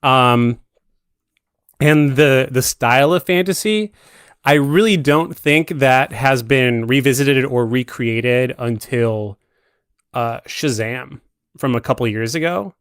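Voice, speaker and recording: A man's voice: medium pitch, slow pace, fairly bright tone, clear, resonant tone, no echo, studio quality. A man talking casually as a podcast guest, musing about films with hesitant phrasing. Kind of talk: casual film discussion.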